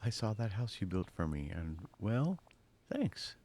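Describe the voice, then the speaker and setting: Man voicing a roguish video game thief, low voice, swooping intonation, wry, self-assured, flippant. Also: sing-song, a little slow, dark tone. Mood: sheepish.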